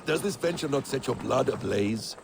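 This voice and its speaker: An actor playing a shrewd, composed conspirator in a video game, speaking in a measured, eloquent, theatrical way. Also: male voice, medium pitch, animated, vocal fry, background noise. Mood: sly, assured. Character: shrewd, composed male conspirator counselling a leader. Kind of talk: video game character acting.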